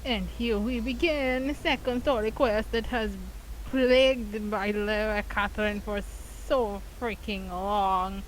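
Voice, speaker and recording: A woman's voice: medium pitch, steady tone, slow, poor audio. A woman with a mid-range voice chats casually through a game as its commentator. She rambles conversationally, and a faint hiss runs underneath. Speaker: gaming content creator.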